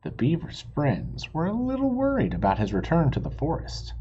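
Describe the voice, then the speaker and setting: A man reads a children's storybook aloud expressively, pausing for simple asides and questions to young listeners. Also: on the high side, huge pitch swings, dark tone, slightly rough, lo-fi sound. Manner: asks the listeners questions, explains words simply.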